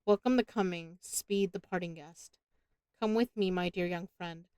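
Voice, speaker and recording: A stately old vampire count speaks with false, silky grace, as a woman reading aloud gives him voice. The tone sits lowish and smooth, and there is sinister courtesy under every word. The sound is close and dry. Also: normal speed, a little mellow, dry recording, lightly acted. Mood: suave menace.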